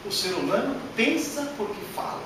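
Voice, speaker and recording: A man giving a workshop lecture to an audience, animated and emphatic, with many rhetorical questions, speaking Portuguese as a learned language. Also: high-pitched, normal speed. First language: not Portuguese. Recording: echoey hall from far off, noisy.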